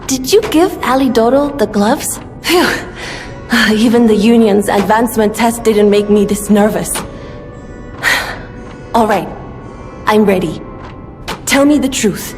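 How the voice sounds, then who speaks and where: A woman's voice: fairly high pitch, slow, pauses often, big-room sound, soft background music. A woman playing a nervous, earnest character hoping for good news in a video game. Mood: nervous hope. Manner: anxious, earnest. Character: nervous, earnest woman making a hopeful request.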